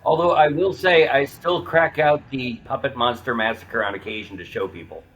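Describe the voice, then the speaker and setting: A man's voice: medium pitch, moderate pace, fairly bright tone, breathy edge, muffled recording. A man talking informally as a guest in a podcast conversation. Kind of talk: casual chat.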